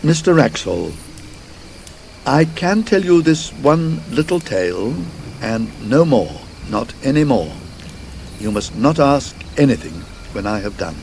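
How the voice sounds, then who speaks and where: A man voicing a reluctant Swedish innkeeper who tells a grim tale in a slow, halting, emphatic way, in an audiobook. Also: medium pitch, sing-song, creaky, a little mellow, a little hiss or hum, pitched above the performer's own voice. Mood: grave, reluctant. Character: Swedish innkeeper, reluctant, grave, warning storyteller.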